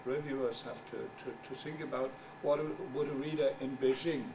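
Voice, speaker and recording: A middle-aged man whose first language is German gives a conference talk as a journal editor. He speaks English haltingly, searches for words, and makes wry asides. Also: mid-range voice, steady tone. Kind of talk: conference presentation. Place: conference room. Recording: distant mic with background noise.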